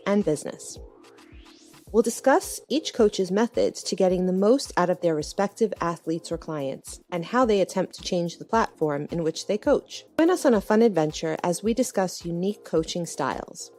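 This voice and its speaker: A woman's voice: mid-range voice, a little mellow. A woman reading scripted promotional narration as a podcast announcer. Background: faint music.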